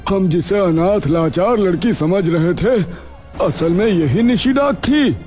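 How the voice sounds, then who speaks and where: A man playing an astonished villager in a cartoon story, exclamatory and drawn-out. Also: sits high, animated, a little mellow, soft background music. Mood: shocked.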